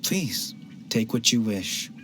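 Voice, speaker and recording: A man voicing a philosophical shrine keeper in a video game, musing poetically with gentle wryness. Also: fairly low pitch, very animated, slow pace, noisy background. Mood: resigned, generous. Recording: game music behind the voice.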